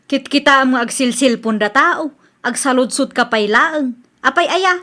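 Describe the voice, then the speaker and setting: A woman's voice: high-pitched, moderate pace, sharp tone. A woman with an Ilocano Filipino accent acting a brash, evasive young housewife, defensive and dismissive, in a radio comedy drama.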